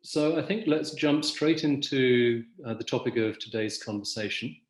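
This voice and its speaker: A man hosts a business webinar over a video call, presenting in a measured, articulate way. His voice sits at an ordinary middle pitch. The room gives it a noticeable echo. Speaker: webinar host.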